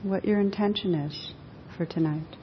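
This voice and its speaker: A woman leading a guided meditation for a group, speaking gently in short, unhurried phrases with long pauses. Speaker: meditation teacher. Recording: dull echoing hall recording, faint rumbling noise.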